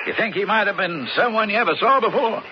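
An actor playing a furious, commanding rancher father in a radio western, middle-aged, with an American accent and a gruff, folksy way of barking orders. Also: high-pitched, fast, close to an everyday voice. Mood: brooding, suspicious. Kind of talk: radio western drama acting. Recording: dull, noisy vintage radio drama recording.

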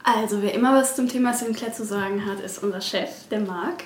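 Woman, medium-pitched voice, casual interview answers, echoey office, faint background noise. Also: brisk. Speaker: publishing staff member being interviewed. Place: indoors in an office.

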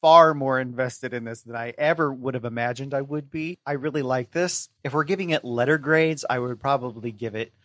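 A man's voice: medium pitch, fairly quick, hardly any pauses, a bit bright, dry recording. A man speaking as a podcast guest, discussing books casually with articulate, considered phrasing.

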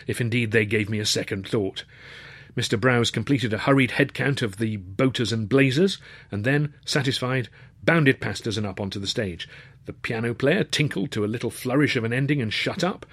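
A man's voice: mid-range voice, slightly rough, no room sound. A man narrating a comic novel in the first person, with wry, literary phrasing. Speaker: author and narrator.

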